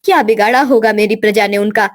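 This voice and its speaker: A woman's voice: mid-range voice, brisk, natural voice. A woman voicing a wrathful, grieving ant queen in a cartoon, solemn and declamatory as she curses. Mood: anguished, wrathful.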